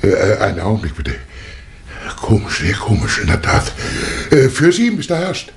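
A hesitant fellow relaying calls in a vintage radio mystery. His voice is rather low and gravelly, on a faintly noisy old recording. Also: huge pitch swings, moderate pace, exaggerated voice. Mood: hesitant, puzzled. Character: hesitant man answering and relaying phone calls. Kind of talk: 1960s German radio crime drama acting.

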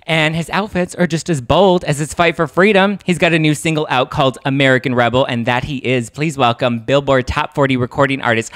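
A man's voice: mid-range voice, fairly bright tone, no room sound. A young man hosts a casual panel podcast, reading upbeat introductions and chatting with guests. He talks into a handheld mic at home, and the sound is close and dry with no room around it. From Los Angeles. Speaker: podcast host. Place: home kitchen. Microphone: handheld mic with headphones.

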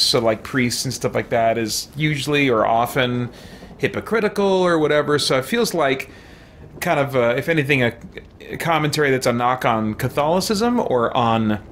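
A man in his forties giving casual live gameplay commentary in a halting, musing way. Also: medium pitch, a bit bright, a little hiss or hum. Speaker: game streamer. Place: small room lined with shelves, indoors. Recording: faint game ambience behind.

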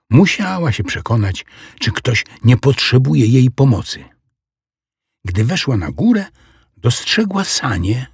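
Expressive, creaky-voiced male storyteller. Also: medium pitch, no room sound, studio quality. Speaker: narrator. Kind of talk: expressive children's audiobook storytelling.

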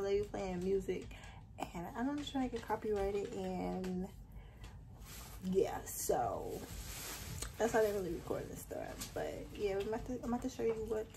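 A woman's voice: fairly high pitch, creaky, warm tone. A young woman in her twenties chatting through a casual shopping vlog, rambling with fillers, filmed on a handheld phone in a mall and then at home. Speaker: vlogger. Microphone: handheld phone camera. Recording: noisy phone recording.

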